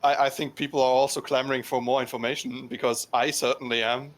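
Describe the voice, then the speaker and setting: A man's voice: medium pitch, flat delivery, fast, rough recording. A man from Germany with a German accent, a guest on a casual gaming podcast who jokes wryly and swears casually. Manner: wry, swears casually, laughs often.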